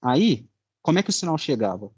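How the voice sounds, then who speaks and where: A young man with a Brazilian Portuguese accent, giving an informal student presentation in a halting, colloquial way. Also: mid-range voice, big pitch swings, a little mellow, slightly rough, no room sound. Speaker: student presenting a final project.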